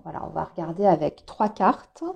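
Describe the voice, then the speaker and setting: A woman's voice: low voice, normal speed, creaky, a little mellow, a little hiss or hum. Woman with a cold reading tarot. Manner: hesitant, many filler sounds, explanatory. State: has a cold, throat a bit hoarse. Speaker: tarot reader. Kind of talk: monthly astrology tarot reading.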